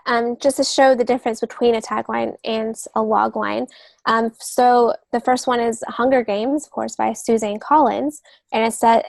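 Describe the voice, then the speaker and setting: A woman's voice: fairly high pitch, vocal fry, no room sound. A woman guest on a podcast, explaining writing craft casually, step by step. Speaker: podcast guest, novelist and literary agent.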